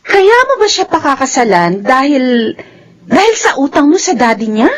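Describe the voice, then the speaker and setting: High, excitable young woman. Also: moderate pace. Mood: worried. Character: excitable, concerned younger female cousin. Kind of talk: Filipino radio drama acting. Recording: dull radio drama sound.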